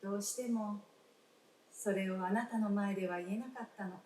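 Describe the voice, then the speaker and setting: A woman's voice: mid-range voice, steady tone, normal speed, mellow, a little hiss or hum, close to an everyday voice. Woman playing tender young ghost wife, wistful, polite, radio drama style, roomy stage, distant mic. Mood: gentle, earnest. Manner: polite, tender, old-fashioned feminine speech. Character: gentle, wistful young wife, spirit of the dead.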